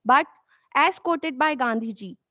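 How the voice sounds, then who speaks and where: A young woman with an Indian accent speaks in a high voice, earnest and formal, sometimes breaking off to restart. The sound is dull and lo-fi, dry and close. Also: a little slow, bright, forceful tone, no room sound. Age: young adult. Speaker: student competitor. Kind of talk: prepared competition speech.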